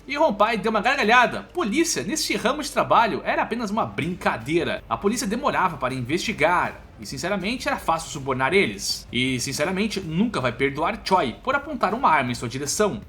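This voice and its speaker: Brazilian man narrating, high animated voice. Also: fast talker, hardly any pauses. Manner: colloquial, run-on storytelling in reported speech. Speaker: manhwa recap narrator. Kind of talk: informal story recap narration.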